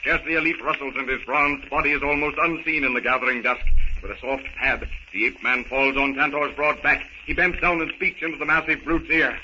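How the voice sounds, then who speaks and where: A man's voice: medium pitch, steady tone, a little fast. A man narrating a 1930s radio adventure serial in a theatrical, suspenseful, dramatic manner. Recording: muffled, noisy 1930s radio recording.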